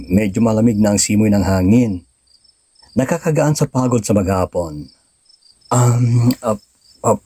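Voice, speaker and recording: An actor playing a devout, tender young husband and father in a sentimental radio drama, speaking gently and sincerely with a Filipino accent. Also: lowish voice, husky, no echo. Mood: soft, affectionate. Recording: music bed under the voice.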